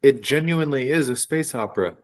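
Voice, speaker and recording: A man's voice: medium pitch, expressive, fairly quick. Male co-host, mid-range voice, casual and curious, supportive listener who prompts the conversation. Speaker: podcast co-host. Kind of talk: casual podcast chat, reacting and prompting.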